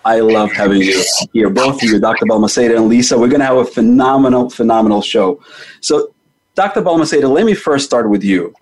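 Husky-voiced man hosting a health show. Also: mid-range voice, animated, brisk, darkish tone, poor audio. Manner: emphatic, repeats key figures and words for stress. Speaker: host. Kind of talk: scripted show introduction and interviewing.

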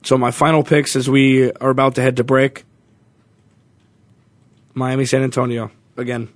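A man's voice: medium pitch, level tone, moderate pace, no echo. A man hosting a sports talk radio show, chatting casually with opinions and small self-corrections.

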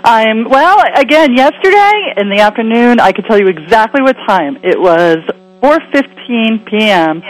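Woman, radio host, raspy, hoarse from a cold, chatty, rambling. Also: on the high side, lively intonation, poor audio. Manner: chatty, rambling, quick asides. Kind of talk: casual radio interview chat.

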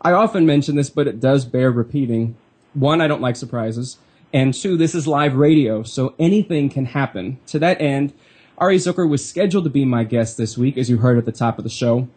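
A man's voice: medium pitch, brisk, darkish tone, slightly rough. A man hosting a live internet radio show, stuffy from a cold, chatty and playful. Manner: playful, chatty, self-mocking.

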